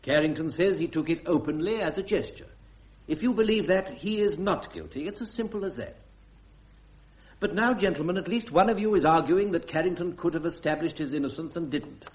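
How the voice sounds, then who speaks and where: Casting: male actor as stern military court president, deliberate, authoritative, fairly high male voice, muffled radio play. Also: expressive, lightly acted. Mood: grave, formal. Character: stern, measured presiding officer of a court-martial. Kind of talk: radio drama acting. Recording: dull, muffled radio drama recording.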